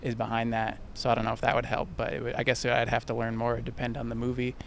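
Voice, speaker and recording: Flat-voiced young man thinking aloud. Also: medium pitch, quick pace, gravelly. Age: young adult. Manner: thinking aloud, restarting sentences. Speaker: passerby. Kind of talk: street interview answer. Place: outdoors on a city street. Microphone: handheld mic held by interviewer. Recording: faint street noise behind.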